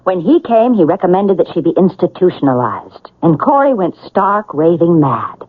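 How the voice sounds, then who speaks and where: A woman's voice: on the low side, big pitch swings, moderate pace, husky. An actress in a radio drama playing a cold, scheming, sardonic woman with a theatrical, calculating manner. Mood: cool, plotting. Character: cold scheming housekeeper's daughter plotting murder, sardonic woman. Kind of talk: 1970s radio drama acting. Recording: muffled, lo-fi old radio broadcast.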